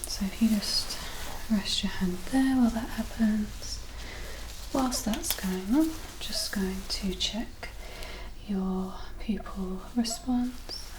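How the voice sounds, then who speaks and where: A woman softly whispering a medical ASMR roleplay as a doctor, breathy, gentle and reassuring. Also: mid-range voice, slow pace. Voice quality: breathy, mostly whispered soft voice. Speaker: ASMR performer roleplaying a doctor giving a physical exam. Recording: roomy echo, distant mic, clear background noise.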